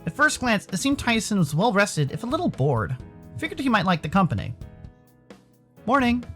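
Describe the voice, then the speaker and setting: A man's voice: high-pitched, huge pitch swings, a little fast, a bit bright. An American man reading scripted story narration with plenty of expression. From Arizona, United States. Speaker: visual novel narrator. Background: faint music.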